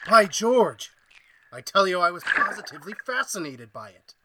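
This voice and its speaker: An actor playing an obsessed, domineering mad scientist in a radio horror play, imperious, excitable and gloating. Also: male voice, high voice, normal speed, noisy background. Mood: fascinated. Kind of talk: 1930s-style radio horror acting.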